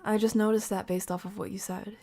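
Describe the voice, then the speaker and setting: A woman hosting a livestream, giving casual, reflective commentary and talking with her chat in a conversational, measured way. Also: mid-range voice, level tone, warm tone. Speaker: streamer, host.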